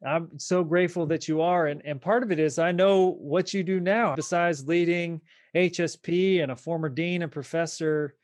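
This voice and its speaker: A man's voice: a little high, fairly even intonation, normal speed, no room sound. Upbeat podcast host man, dry sound. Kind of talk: podcast intro and interview.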